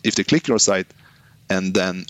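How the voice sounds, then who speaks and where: A man's voice: low-pitched, unhurried, a bit bright. A man speaking as a business guest in a casual interview, often restarting and repeating words as he thinks. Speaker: guest, online business founder.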